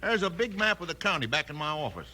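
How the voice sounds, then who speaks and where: A man's voice: fairly high pitch, big pitch swings, quick pace, bright, forceful tone, full character voice. An actor playing a folksy, good-natured old sheriff in a radio Western, hemming and stammering as he goes. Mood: eager, muddled. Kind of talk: 1950s radio Western comedy acting. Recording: noisy, crackly old radio recording.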